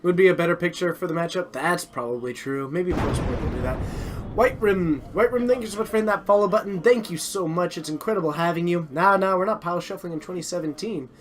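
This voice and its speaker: A man's fairly high voice, strained as he slowly loses it, runs a live card game stream. He chats eagerly, hypes the match and laughs at his own slips. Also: background noise. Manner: chatty, excitable, self-deprecating. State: losing his voice. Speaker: stream host and commentator.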